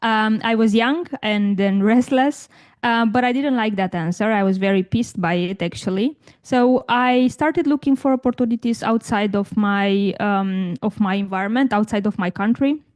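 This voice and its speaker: Thoughtful woman from Romania, halting speech. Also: mid-range voice, darkish tone. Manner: thoughtful, many restarts and fillers. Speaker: guest, tech career coach. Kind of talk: conversational interview answers.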